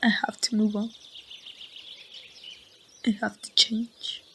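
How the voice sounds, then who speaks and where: Actress as grieving young woman in an audio roleplay: slow, halting, tender near-whispers, faint birdsong and ambience behind. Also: a little high, little pitch movement, a little hiss or hum, heavily acted. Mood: heartbroken, reluctant. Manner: soft, halting, breathy delivery slipping toward whispers. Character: grieving young woman saying goodbye to her lost loved one. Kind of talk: amateur ASMR roleplay monologue.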